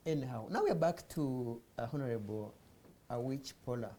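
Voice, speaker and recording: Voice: mid-range voice, animated, fairly quick, warm tone. A host moderating a panel, hesitant and filler-heavy as he prompts the guests. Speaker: talk show host.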